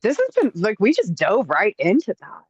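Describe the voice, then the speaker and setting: A woman co-hosting a casual podcast chat, talking in an animated, emphatic way and getting motivational. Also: mid-range voice, moderate pace, breathy edge, no echo, muffled recording. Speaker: podcast co-host.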